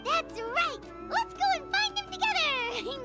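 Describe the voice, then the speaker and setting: A woman voicing a tiny, excitable, childlike fairy companion with a squeaky voice in an anime-style video game. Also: unhurried. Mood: eager. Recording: loud game music behind the voice.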